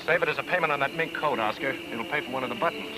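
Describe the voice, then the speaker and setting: An actor playing a wisecracking, cool-headed detective with a wry, clipped delivery in 1940s film noir style. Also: male voice, a little high, racing, heavy background noise, natural voice. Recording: old film soundtrack under loud music.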